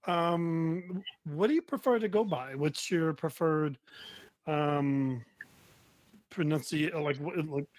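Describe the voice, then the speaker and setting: A man hosting a casual interview podcast, halting as he repeats and rephrases his questions. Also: a little high, darkish tone, dry recording, rough recording. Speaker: podcast host.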